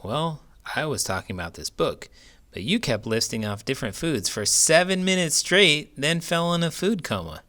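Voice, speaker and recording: A man's voice: a little high, big pitch swings, moderate pace, sharp tone. A man hosting a children's show, reading a picture book aloud expressively with big emotions. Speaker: children's book reader and host. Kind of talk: expressive storybook read-aloud.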